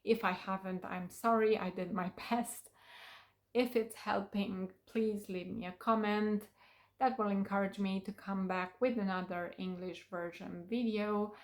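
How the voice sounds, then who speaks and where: A woman with Romanian as her first language talks to her viewers in English. Her voice sits at an ordinary mid pitch. She takes her time and often pauses on fillers as she searches for words. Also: steady tone. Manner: unhurried, with many fillers and pauses. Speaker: vlogger. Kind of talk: casual talk to camera.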